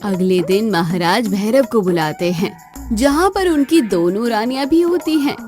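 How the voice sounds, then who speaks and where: A woman's voice: medium pitch, animated, a little slow, a little mellow, soft background music. A woman working as a narrator, reading a scripted moral story.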